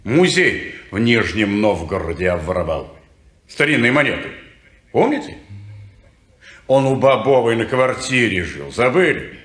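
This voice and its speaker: A man's voice: on the low side, sing-song, a bit bright, reverberant. An actor playing a cold, menacing man who coolly toys with his cornered victim in a 1950s radio drama. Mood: probing. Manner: cool, measured, mocking.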